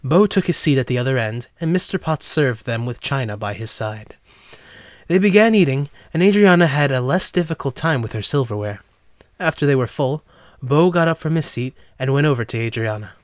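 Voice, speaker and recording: Mid-pitched man narrating a story. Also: animated, brisk, no echo, studio quality. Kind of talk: scripted storybook narration of an audio drama.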